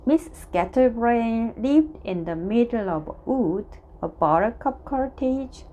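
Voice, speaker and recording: A woman reading a children's story aloud as narrator, in a halting, deliberate way. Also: fairly high pitch, animated, mellow, rough recording. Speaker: storybook reader.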